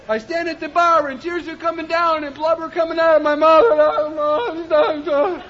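Impassioned high-voiced man, lo-fi tape. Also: little pitch movement, normal speed, a faint crowd. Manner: impassioned, often shouting, rhythmic repetition. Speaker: recovery meeting speaker. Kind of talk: personal testimony speech. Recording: dull lo-fi recording.

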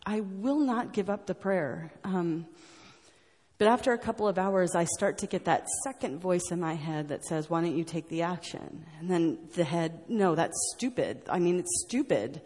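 A woman's voice: fairly low pitch, normal speed, darkish tone, slightly rough, big-room sound. An American woman telling her personal story to an audience, earnest and rambling, with many fillers and restarts. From United States. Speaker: speaker sharing her story at a recovery meeting.

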